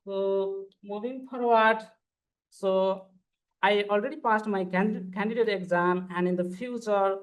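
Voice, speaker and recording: A man's voice: high voice, steady tone, a little slow, poor audio. A man, giving an earnest, formal conference panel presentation as a PhD student. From Nepal. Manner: earnest, formal, walks through slides step by step.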